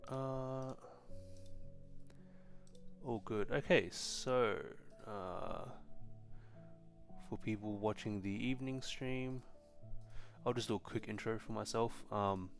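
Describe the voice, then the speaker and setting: A man's voice: on the low side, slow pace, pauses often, faint music behind. A young man, born in China, hosting a casual tech live stream, answering chat questions with lots of ums and restarts. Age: young adult. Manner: relaxed, frequent ums and restarts.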